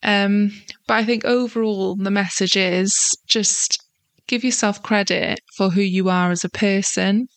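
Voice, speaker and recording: Croaky woman hosting an encouraging podcast. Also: mid-range voice, dry recording. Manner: earnest and encouraging, loose with fillers. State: croaky, sore throat. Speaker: podcast host. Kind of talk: solo podcast monologue.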